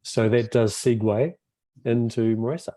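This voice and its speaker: A man introducing himself on a video call, relaxed and rambling with many fillers, as the group's convenor. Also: medium pitch, measured pace, no room sound.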